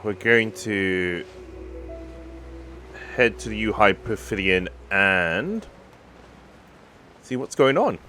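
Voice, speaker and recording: Deep-pitched streamer, slow delivery, casual play-by-play, low-key, light music in background. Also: animated, sharp tone. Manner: low-key, narrates own actions. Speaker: game streamer. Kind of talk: casual let's play commentary.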